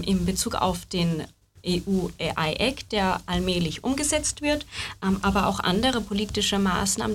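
A woman presenting research findings in a measured, explanatory discussion. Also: mid-range voice, slight background noise. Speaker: student researcher.

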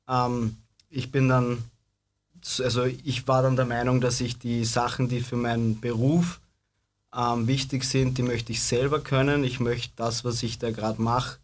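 A man in a casual panel talk, reflecting aloud and thinking things through. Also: medium pitch. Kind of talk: casual discussion.

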